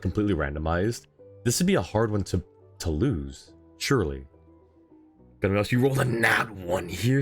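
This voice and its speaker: A male game streamer with a very deep voice that moves around a lot. He chats casually and jokily as he reacts to the game, with its music playing softly behind him. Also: husky. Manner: jokey, reacts aloud, reads game dialogue out. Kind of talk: casual live game commentary.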